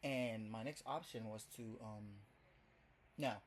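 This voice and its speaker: A man's voice: fairly low pitch, slow, slight background noise. A young man from Ghana with a Ghanaian accent, rambling casually in a first video blog, full of "like" and "um". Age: young adult. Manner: chatty, rambling, heavy on fillers. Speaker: vlogger. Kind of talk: casual first vlog to camera.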